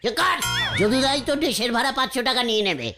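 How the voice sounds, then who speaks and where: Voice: medium pitch, animated, quick pace, music in the background, lightly acted. A performer voicing a greedy, scheming trickster uncle in a cartoon, wheedling and blustering as he haggles. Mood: scheming, boastful. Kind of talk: exaggerated cartoon voice acting.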